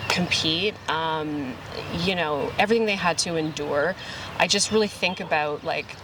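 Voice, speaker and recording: A woman's voice: sits low, moderate pace, recorded from a distance. A woman with a Canadian accent, a tournament director giving an earnest, reflective interview with many fillers. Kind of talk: casual interview chat. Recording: outdoor noise, off-mic.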